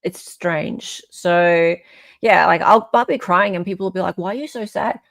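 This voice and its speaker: A woman's voice: on the low side, normal speed, gravelly, no echo. An Australian woman hosting a live stream in casual, opinionated chat, often checking for agreement as she goes.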